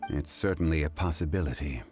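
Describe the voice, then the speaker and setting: A dignified gentleman adviser from an anime game, with a very low voice. He is calm and learned, choosing formal words in a measured manner, with music behind him. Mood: composed.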